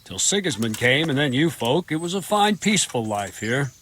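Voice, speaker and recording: A man's voice: mid-range voice, very animated, normal speed, fairly bright tone, a little hiss or hum. A man playing a plainspoken, dutiful, slightly grumbling guard captain in a video game, blunt and matter-of-fact. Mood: grumbling, informative. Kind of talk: video game dialogue acting.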